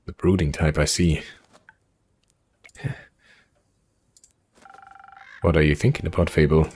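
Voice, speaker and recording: A man voicing a calm fantasy innkeeper in a game, measured, dry-witted and reassuring. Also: rumbling low, husky. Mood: calm, encouraging.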